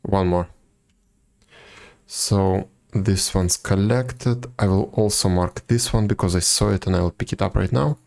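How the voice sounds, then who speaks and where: Casting: male game streamer, low gravelly voice, casual wry self-commentary, faint game music behind. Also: unhurried, darkish tone. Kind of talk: casual live gameplay chat.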